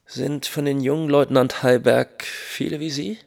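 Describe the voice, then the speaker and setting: Gravelly, stern army major. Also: male voice, mid-range voice, fairly even intonation, a little slow, a little mellow. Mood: probing, sardonic. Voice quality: gravelly, husky. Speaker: actor in a radio drama. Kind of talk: German radio drama acting. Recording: faint music behind.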